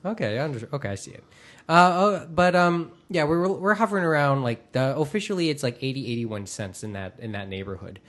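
Halting, rambling male podcast host. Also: a little high, expressive, a bit bright. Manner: halting, rambling, hedging delivery with repeated false starts. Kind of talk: casual tech chat. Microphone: microphone through a mixer.

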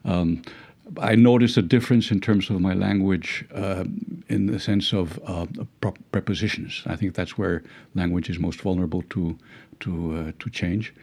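A man's voice: low-pitched, fairly even intonation, moderate pace, few pauses, a little mellow, breathy edge. A middle-aged man with a Dutch accent, a professor talking reflectively in an interview, hesitant with many fillers and restarts and wry chuckles. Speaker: legal philosophy professor.

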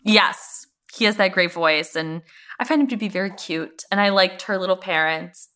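A woman's voice: mid-range voice, moderate pace, sharp tone. A woman co-hosting a podcast, chatting casually and effusively about television in an informal, emphatic way. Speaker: podcast co-host. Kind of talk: casual TV recap chat.

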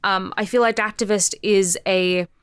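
A woman with a clear, smooth voice chats casually as an interview guest. Her delivery is candid and informal, unafraid of blunt language. The sound is close and dry, at an everyday middle pitch. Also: steady tone, normal speed, dry recording. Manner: candid, informal, swears freely. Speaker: podcast guest, audio drama creator.

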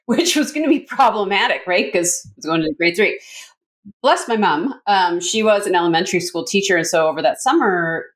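A middle-aged woman from Canada with a North American accent, telling her story in an animated, chatty way as a coach and educator being interviewed. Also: lowish voice. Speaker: communications coach, consultant and educator, interview guest. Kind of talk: casual conversational interview answers.

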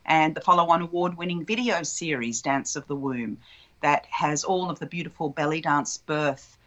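Low-voiced woman telling her story. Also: normal speed, fairly bright tone, highs cut off. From Australia. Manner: flowing, reflective storytelling. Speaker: guest, childbirth educator. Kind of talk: relaxed interview conversation.

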